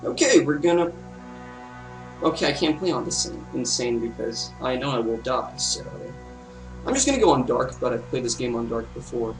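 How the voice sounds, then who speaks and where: A man's voice: mid-range voice, expressive, normal speed. A young man giving casual, hesitant, rambling let's play commentary as a gaming video host. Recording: slightly distant mic, loud game music. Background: loud video game music.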